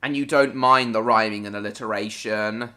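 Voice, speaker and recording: Bright-voiced male live streamer. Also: on the low side, steady tone, normal speed. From Hong Kong. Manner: answers viewers by name, rambling and repeating himself; bursts into swearing at game mishaps. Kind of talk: casual live-stream chat while gaming.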